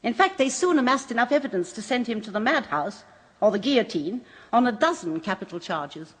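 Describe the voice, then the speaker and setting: A composed waxworks manager who talks of murderers with precise, brisk relish. Her voice is slightly husky and lively in pitch. The sound is a muffled old radio recording. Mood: cool.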